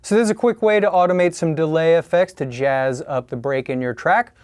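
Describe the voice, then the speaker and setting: A man's voice: on the high side, fairly quick, no room sound. American man teaching, close dry sound. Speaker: tutorial host. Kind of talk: scripted instructional narration. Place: studio, indoors.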